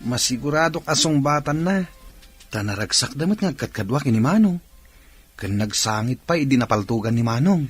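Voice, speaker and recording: A man's voice: mid-range voice, lively intonation, moderate pace, slightly rough, soft background music. A man acting in a radio comedy drama as a confident, gossipy sidekick.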